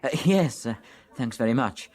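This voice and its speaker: An actor playing an uneasy, reserved physician with an English accent and a clipped, hesitant manner in a radio drama. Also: male voice, mid-range voice, animated, moderate pace, darkish tone, close to an everyday voice. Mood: awkward, dry.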